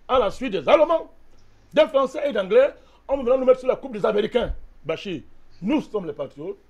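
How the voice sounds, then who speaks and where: Argumentative high-voiced man from Cameroon. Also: lively intonation, brisk, a little mellow, dull audio. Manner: insistent, argumentative, repeats a direct address to the host. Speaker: debate panelist. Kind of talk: heated political debate.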